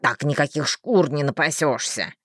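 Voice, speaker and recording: A woman's voice: low-pitched, moderate pace, slightly rough, no room sound, voice pushed down. A woman voicing a groveling male werewolf with a nasal, whiny, tearful voice, in a dry recording. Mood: whining. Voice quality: nasal, whining.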